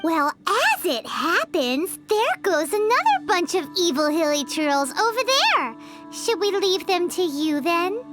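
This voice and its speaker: Super high squeaky fairy sidekick, eager chatterbox, loud game music behind. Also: female voice, big pitch swings, measured pace, full character voice. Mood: lively. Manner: talks about herself in third person, eager, chatty. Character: small chirpy female fairy companion, excitable. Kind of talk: video game cutscene voice acting.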